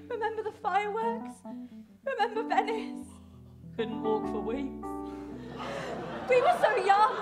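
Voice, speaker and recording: A woman's voice: very high-pitched, full character voice. A woman playing an earnest, lovelorn guard with overwrought declamation in a stage mock-melodrama. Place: theatre stage.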